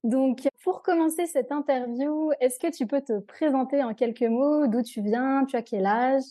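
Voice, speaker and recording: Warm-toned woman hosting a podcast. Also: sits high, a little slow, dry recording. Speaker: podcast host. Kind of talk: interview.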